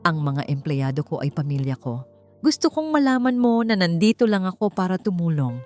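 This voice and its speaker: A woman's voice: on the low side, sing-song, moderate pace, mellow, soft background music. A woman voicing a manipulative, smug male boss with falsely kind manners in an audiobook drama. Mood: feigned sympathy.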